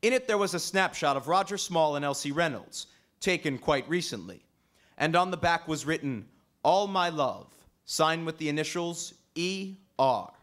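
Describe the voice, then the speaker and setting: Actor playing radio sleuth, slightly high male voice, composed, assured, measured, explanatory. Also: lively intonation, fairly bright tone. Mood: assured, serious. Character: composed male amateur detective, assured and reasoning. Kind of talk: old-time radio drama acting.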